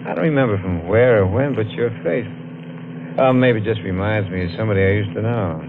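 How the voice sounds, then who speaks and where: A man's voice: lowish voice, fairly quick, noisy background, natural voice. An actor playing an edgy working-class man in his twenties in a 1950s noir radio drama, colloquial and plain-spoken. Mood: casual, uneasy. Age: 20s. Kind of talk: 1950s radio drama acting, first-person noir narration. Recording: muffled old radio recording, music behind.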